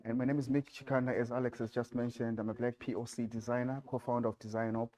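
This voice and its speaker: A man from Zimbabwe giving a design talk with slides in a lecture hall, speaking haltingly with many fillers and restarts. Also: medium pitch, level tone, mellow. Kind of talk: presentation with slides.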